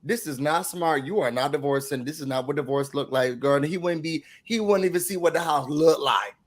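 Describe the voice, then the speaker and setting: A slightly hoarse man hosting a casual TV recap chat, telling the story animatedly and acting out quotes. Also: fairly high pitch, brisk. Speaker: recap show host.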